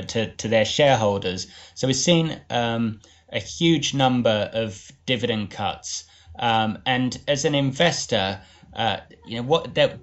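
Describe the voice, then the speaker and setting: Halting male interviewer, slightly dull audio. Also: mid-range voice. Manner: halting, tentative phrasing with repeated words. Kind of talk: conversational interview questioning.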